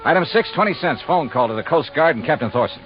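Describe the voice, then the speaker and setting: A man's voice: on the high side, lively intonation, quick pace, close to an everyday voice. Guy playing a hard-boiled radio detective, really swingy wry delivery, muffled old recording with music behind. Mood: wry, brisk. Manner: clipped, wry, insistent. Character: shrewd, hard-boiled male insurance investigator. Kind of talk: 1950s radio detective narration and acting.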